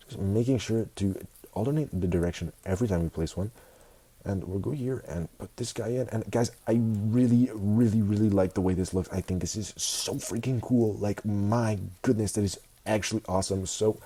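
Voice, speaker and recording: A young man with a stuffy nose from a cold, casually narrating his building game, excitable and fond of repeating words. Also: on the low side, slightly rough. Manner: excitable, repeats words, keeps saying guys. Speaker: gaming video host. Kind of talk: casual Minecraft commentary.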